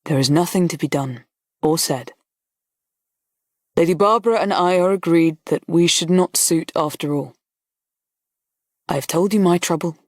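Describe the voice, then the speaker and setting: Brief: a woman doing a reserved, gentle colonel, low and measured, storybook reading, dry sound. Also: dry recording. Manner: quiet, measured and restrained. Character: grave, gentle army colonel, restrained man.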